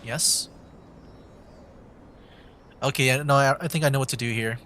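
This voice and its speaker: A man streaming a video game with casual commentary, thinking aloud in hesitant, halting bursts. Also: medium pitch, steady tone, a bit bright, a little hiss or hum.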